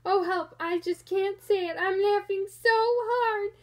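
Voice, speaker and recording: A big storybook rhinoceros, acted out by a woman, rude and teasing. The delivery is exaggerated and high in pitch, with slow, drawn-out words. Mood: helpless giggling. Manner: exaggerated, loud, breaking into giggles. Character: large rude rhinoceros, gruff teaser, helpless giggler.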